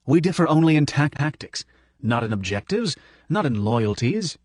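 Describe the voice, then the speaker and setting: A man's voice: fairly high pitch, sing-song, no room sound, studio quality, voice pushed up. A man doing a courtly, fretful old professor. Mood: earnest. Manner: warm, halting, fussy old-world politeness. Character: older male professor, gentle, courtly, fretful.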